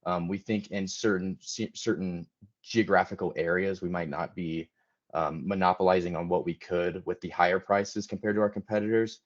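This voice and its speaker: A man's voice: deep voice, fairly even intonation, moderate pace. A man giving a prepared business presentation as a presenter, somewhat hesitant with frequent ums. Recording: dull lo-fi audio.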